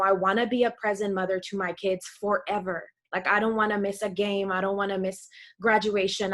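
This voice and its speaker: A woman's voice: medium pitch, a bit bright. A woman from Costa Rica, a health coach, sharing a heartfelt personal testimonial on a video call. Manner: earnest, heartfelt, grateful.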